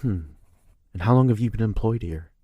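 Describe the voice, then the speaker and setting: Low, husky, flirtatious guard. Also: male voice, brisk, dry recording, dull audio. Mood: probing. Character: flirtatious, self-assured male royal guard questioning a maid. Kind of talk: audio drama acting.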